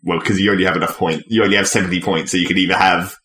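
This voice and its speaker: A man's voice: low-pitched, fairly even intonation, very fast, a bit bright. A man co-hosting a podcast, casually talking through video games in an analytical, explanatory way. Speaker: podcast co-host. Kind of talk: casual gaming discussion. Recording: echoey room, faint background noise.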